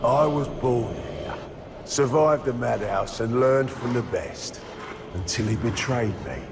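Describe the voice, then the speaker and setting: A menacing, mocking killer. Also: male voice, mid-range voice, lively intonation, slow pace, noisy background. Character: vengeful, taunting male serial killer. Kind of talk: video game cutscene acting. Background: orchestral game score.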